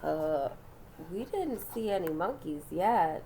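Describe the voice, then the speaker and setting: A woman's voice: fairly low pitch, sing-song, slow, mellow, a little hiss or hum, full character voice, low-quality recording. A woman voicing a nervous, easily awed little boy in a children's storybook, asking and exclaiming anxiously. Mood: nervous, hesitant. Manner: hesitant, anxious questioning and exclaiming. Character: nervous, awestruck little boy who fears dinosaurs.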